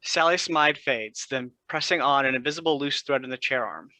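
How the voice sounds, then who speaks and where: Brisk, bright man reading stage directions. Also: mid-range voice, no echo, rough recording. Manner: brisk, matter-of-fact. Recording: dry close recording.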